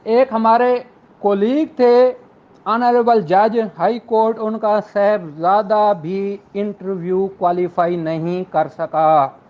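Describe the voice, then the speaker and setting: A man's voice: high-pitched, steady tone, takes it slowly, highs cut off. A man with a Pakistani accent lecturing law students in an earnest, rhetorical way, repeating phrases for emphasis.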